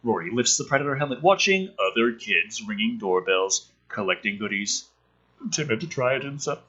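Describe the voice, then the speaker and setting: A man reading a film script aloud and adding casual, sardonic, profane commentary in an animated way. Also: medium pitch, moderate pace, slightly rough, muffled recording.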